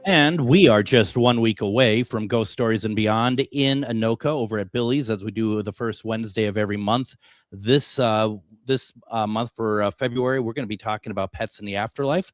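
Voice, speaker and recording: A man's voice: on the low side, moderate pace, dry recording, soft background music. A man, hosting a radio talk show, chatting casually and reading his own ads, restarting often with "uh".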